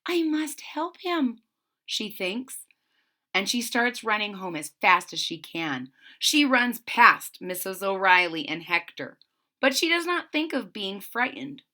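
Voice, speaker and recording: A woman reading a children's story aloud in an expressive style, with simple retelling asides. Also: mid-range voice, a little slow.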